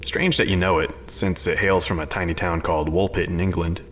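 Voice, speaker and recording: A man's voice: sits low, fairly even intonation, a little fast, a bit bright, slight background noise. A man playing an unflappable, bookish advisor who explains odd theories matter-of-factly in a sci-fi audio drama. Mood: earnest, storytelling.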